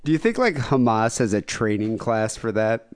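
Male co-host, casual, crude humor, animated pitch. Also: moderate pace. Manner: crude, joking. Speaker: podcast co-host. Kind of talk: casual chat.

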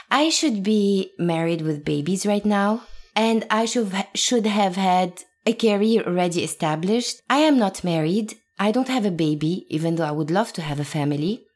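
A woman's voice: medium pitch, a little mellow. A woman in her thirties from Lebanon, an actress talking earnestly and emphatically as an interview guest. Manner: earnest, emphatic, laughs now and then. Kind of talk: reflective interview talk.

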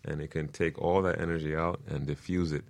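A man's voice: extremely low pitch, flat delivery, a little fast, gravelly. A man speaking as a guest teacher on a radio interview, earnest and informative as he explains.

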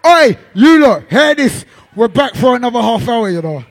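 A man MC, voice worn out from performing, loudly laughing and shouting out names in live banter. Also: extremely high pitch, big pitch swings, normal speed, slight background noise. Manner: loud, laughing, shouts out people. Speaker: MC on a live stream. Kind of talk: hyped live banter between sets.

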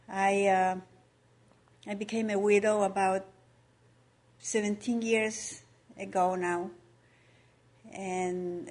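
A woman's voice: mid-range voice, a little mellow. A middle-aged woman from Ecuador giving a personal testimony at church, careful and hesitant. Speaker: missionary's wife giving testimony.

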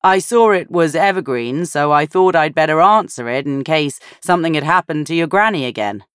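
Woman playing a breezy, loud family man. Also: low voice, lively intonation, normal speed, clear, resonant tone, no echo, clean studio sound. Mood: cheerful, affectionate. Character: cheerful, affectionate young husband and father.

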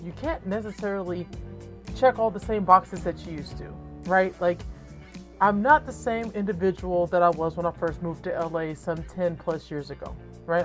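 A woman in her thirties with a deep voice, hosting her own podcast in a frank, casual monologue full of swearing and rhetorical asides. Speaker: podcast host.

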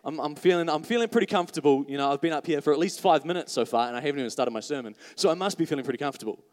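A man's voice: on the high side, rapid-fire. A man preaching a live sermon to a congregation, chatty and full of self-mocking jokes. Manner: chatty, joking, self-mocking asides to the crowd. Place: church hall before a congregation. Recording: reverberant hall.

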